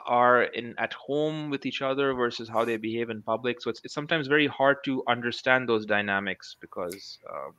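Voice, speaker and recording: Man in his thirties, interview guest over headset; forceful bright voice, halting and thoughtful, dry lo-fi call sound. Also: mid-range voice, dry recording. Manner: thoughtful, many restarts and fillers. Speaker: guest researcher. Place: small room at home.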